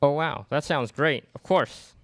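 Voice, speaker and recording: A man's voice: fairly high pitch, unhurried, fairly bright tone, no room sound. A young man playing a breezy, eager vampire fan in a student radio play, reading casually from a script. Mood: breezy, keen. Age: young adult. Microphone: microphone on boom stand.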